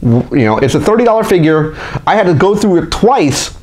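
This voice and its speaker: A man's voice: a little high, very animated, a little fast, a little mellow. Chatty man venting on a toy vlog. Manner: chatty, candid, frequently restarts and vents frustration. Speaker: toy collector channel host. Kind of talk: casual monthly update vlog. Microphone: desk microphone.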